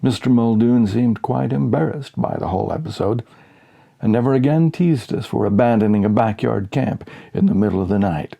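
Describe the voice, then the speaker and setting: A man narrates a comic short story in a dark, husky voice. His delivery is deadpan, building mock suspense through dramatic pauses, with a gravelly edge. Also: mid-range voice. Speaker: audiobook reader. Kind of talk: scripted humorous story narration.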